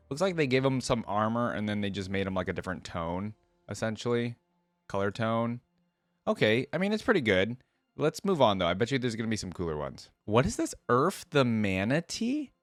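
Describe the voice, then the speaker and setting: Low-voiced man, casual reaction host, dry sound. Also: sing-song, moderate pace, no echo, soft background music.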